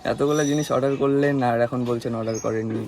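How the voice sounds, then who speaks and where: A man's voice: mid-range voice, fairly even intonation, quick pace, darkish tone, slight background noise. A young man, chatting casually and teasingly on a prank call. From West Bengal, India. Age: young adult. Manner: teasing, playful. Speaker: prank caller, vlogger. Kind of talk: casual teasing phone chat.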